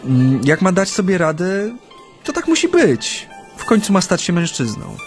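An actor playing a reserved Japanese interpreter in exile in a naturalistic radio drama, speaking politely with hesitant pauses. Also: male voice, high voice, wildly swooping pitch. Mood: reflective. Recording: studio radio drama with music.

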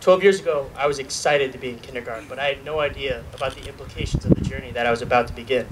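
Young man, student speaker at outdoor graduation, earnest and lightly joking, heard from far off with clear background noise. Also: medium pitch, very fast. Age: young adult. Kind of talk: graduation speech. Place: outdoor graduation ceremony at a podium. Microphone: podium mic filmed from a far camera. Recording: distant outdoor recording with noise.

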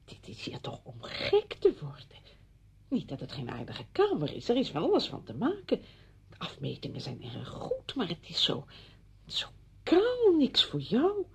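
An actress playing a chatty, meddling woman visiting an old friend in a Dutch radio play, brisk and insistent in manner. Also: medium pitch, wildly swooping pitch, slight background noise. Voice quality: husky, creaky.

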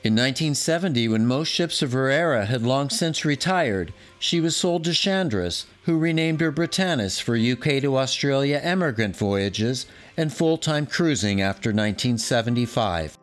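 A man in his sixties narrates a scripted voice-over in a measured, informative tone, with a mid-range voice. Soft music plays faintly underneath. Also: mid-range voice, animated. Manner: measured, informative, fond of the subject. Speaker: documentary narrator.

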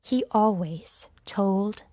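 A woman narrating a children's read-along storybook with careful, deliberate phrasing. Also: medium pitch, warm tone, no echo, clean studio sound.

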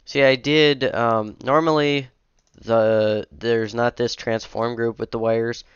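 A man's voice: medium pitch, fairly even intonation, slow pace, clean tone, no room sound, dull audio. A young man with a cold and a sniffly voice, casually rambling through a commentary as he edits a game map, with lots of fillers and self-corrections. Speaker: modding streamer. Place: home room at a computer. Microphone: headset.

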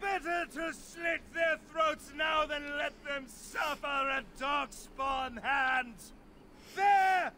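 An actor playing a crazed, despairing refugee doomsayer in a fantasy video game, declaiming fervently in drawn-out phrases. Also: male voice, very high voice, sharp tone, a little hiss or hum, full character voice. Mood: frantic, ranting.

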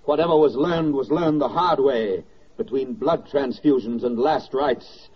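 A man performing as a solemn, declamatory narrator of a wartime radio drama, with dramatic pauses. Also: medium pitch, lightly acted. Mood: grave, biblical. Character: solemn, oratorical male narrator of wartime victory. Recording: dull, band-limited 1940s radio record with music.

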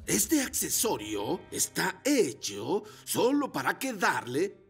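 An actor voicing a proud, boastful old storytelling motorcycle in a cartoon, with a gravelly, theatrical delivery and a Latin American Spanish accent. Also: medium pitch, huge pitch swings, a bit bright, soft background music, full character voice. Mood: showy, proud. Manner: theatrical, drawn-out emphasis. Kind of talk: animated children's cartoon dub.

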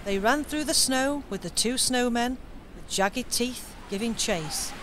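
A woman's voice: a little high, measured pace. Woman narrating a radio pantomime. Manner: steady storytelling. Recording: radio recording with faint noise.

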